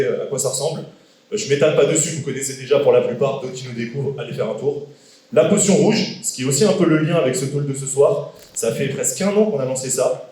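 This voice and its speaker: A man's voice: medium pitch, warm tone. A young man in his late twenties talking to a crowd in a big hall, casual and joking, tossing questions to the room. His voice sits mid-range and comes from well away from the mic. The room rings with heavy echo. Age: 20s. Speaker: guest speaker. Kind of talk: live talk to an audience. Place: large event hall with an audience. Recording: echoey hall from far off.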